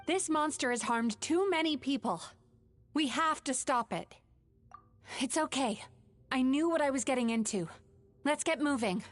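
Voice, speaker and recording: Game role: composed, caring young female dream healer, resolute, higher-register voice. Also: lively intonation, measured pace, long pauses. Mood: determined. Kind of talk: video game voice acting. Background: background music.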